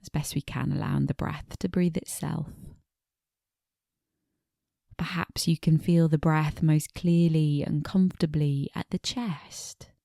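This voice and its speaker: Woman, meditation teacher, creaky dark voice, soft breathy phrase endings, gentle pacing with pauses, guided body scan. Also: low-pitched, steady tone, no echo, studio quality. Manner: gentle, unhurried, pausing between short phrases.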